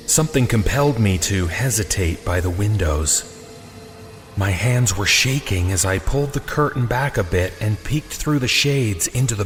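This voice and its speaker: A man reading a scripted first-person horror story in a suspenseful, dramatic way with deliberate pauses. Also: mid-range voice. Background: music.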